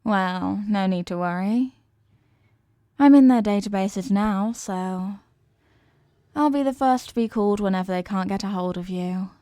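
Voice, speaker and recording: A woman's voice: mid-range voice, a little slow, mellow, dry recording, natural voice. Woman acting a possessive, lovesick wife, intimate and dry. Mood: controlling, smug. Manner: coaxing, melodramatic, lingering on words. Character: possessive, obsessively loving yandere wife, clingy and intense. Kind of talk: intimate ASMR roleplay audio drama.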